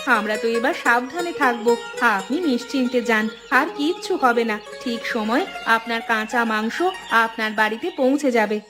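Woman acting an earnest cartoon village shopwoman, placating, very high voice, music behind. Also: normal speed, close to an everyday voice. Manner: pleading, reassuring. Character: earnest, placating female village meat seller. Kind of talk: cartoon fairy-tale acting.

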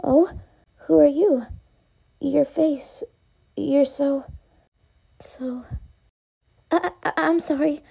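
A woman voicing a lonely, lovestruck young bug girl from a video game, shy, halting and breathy. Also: high voice, steady tone, dark tone, full character voice. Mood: shy, flustered. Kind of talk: fan dub of video game dialogue.

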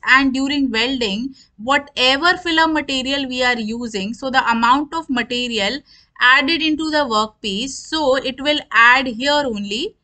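A woman giving an instructional lecture, explaining terms deliberately and repeating her definitions. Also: high-pitched, bright, forceful tone.

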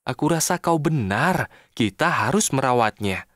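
A man voicing a patient, sensible, caring helper in a children's cartoon, gently admonishing and expressive. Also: mid-range voice, moderate pace, noisy background, close to an everyday voice. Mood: concerned. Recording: studio voice over cartoon music.

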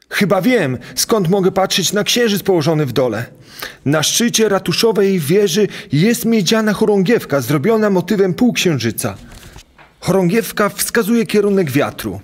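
An actor playing a greedy, boastful innkeeper in an audio play. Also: male voice, high-pitched, exaggerated voice. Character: greedy, boastful medieval innkeeper, sure of himself. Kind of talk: dramatic audio play acting.

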